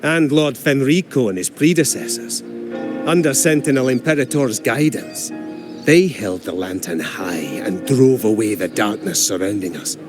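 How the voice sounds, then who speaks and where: An actor voicing a friendly older dockhand in a video game, with a folksy, homespun way of talking. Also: male voice, mid-range voice, expressive, moderate pace, lightly acted. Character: friendly older male dockhand who calls the hero lad. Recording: game audio with music underneath.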